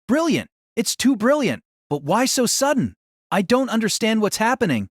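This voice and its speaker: A woman's voice: fairly high pitch, big pitch swings, moderate pace, breathy edge, dry recording, clean studio sound. A woman playing a polite, bewildered teenage boy student in an audio drama, hesitant and questioning. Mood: exasperated. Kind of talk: anime-style audio drama acting.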